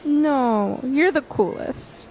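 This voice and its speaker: A woman's voice: high voice, drawn-out words, darkish tone, heavily acted, rough recording. An actress playing a modest, affectionate, slightly guarded young woman in an audio drama.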